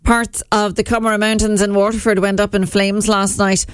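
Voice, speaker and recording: A woman with an Irish accent reading a radio news bulletin in a measured, even way at a studio microphone. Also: mid-range voice, clear, resonant tone, dry recording. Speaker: newsreader.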